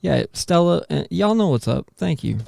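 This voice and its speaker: Slangy podcast guy, super creaky dark voice, real dry sound, just shooting the breeze. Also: fairly high pitch, big pitch swings, moderate pace, dry recording. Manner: slangy, says "dude" often. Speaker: podcast host. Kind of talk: casual banter about music with co-hosts.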